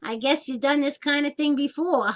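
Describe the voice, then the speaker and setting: Woman acting a high-pitched, blunt older single aunt. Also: moderate pace, lightly acted. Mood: awkward, chatty. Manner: wry, plain-spoken, colloquial nonstandard grammar. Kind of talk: one-act stage comedy acting.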